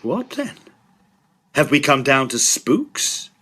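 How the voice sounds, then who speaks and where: A man's voice: medium pitch, sing-song, takes it slowly, pushed and bright, full character voice. A man playing a serious, sceptical young Victorian medical student in an audio drama, terse and guarded. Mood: startled, sceptical.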